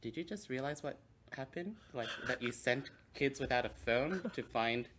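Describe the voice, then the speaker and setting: A man's voice: medium pitch, animated, moderate pace, fairly bright tone. Man telling stories casually, some background noise. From Hong Kong. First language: Cantonese. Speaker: podcast co-host. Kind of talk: casual storytelling chat.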